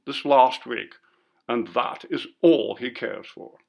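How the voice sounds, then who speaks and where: A man's voice: mid-range voice, takes it slowly, vocal fry, darkish tone. A man voicing a pompous, curt college bursar in a ghost story reading, with a disapproving manner. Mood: indignant.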